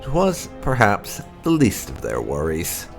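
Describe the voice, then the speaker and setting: A man hosting a gaming video, reading lore entries aloud in a theatrical, expressive way with occasional asides. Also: low-pitched, a little slow, gravelly, soft background music.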